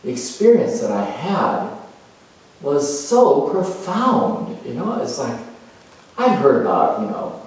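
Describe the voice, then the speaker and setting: A man playing an earnest, reflective spiritual seeker in a dramatized monologue, speaking haltingly and conversationally with pauses. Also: a little high, big pitch swings, a little mellow. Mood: earnest, wondering. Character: earnest spiritual seeker, male adventurer turned motivational broadcaster. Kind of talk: monologue in character, delivered conversationally. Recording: echoey room, distant microphone.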